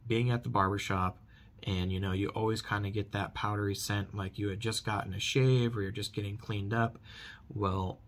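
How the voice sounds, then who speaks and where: A man in his thirties giving a casual product review to camera, repeating emphatic stock phrases, recorded at about arm's length in a small bedroom. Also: fairly low pitch. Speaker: product reviewer, channel host. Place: small furnished bedroom, indoors.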